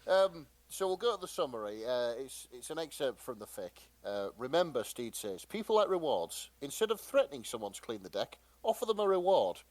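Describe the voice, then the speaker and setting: Male co-host, mid pitch, very varied intonation, casual banter and read-outs, jokey. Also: sing-song, fairly quick, few pauses, dry recording. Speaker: podcast co-host. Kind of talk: casual banter, presenting fan fiction.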